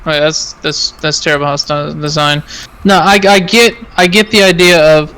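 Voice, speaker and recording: High-pitched, bright-voiced man streaming games. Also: normal speed, smooth, low-quality recording. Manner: rambling, repeats himself. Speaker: game streamer. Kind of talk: casual gaming chat.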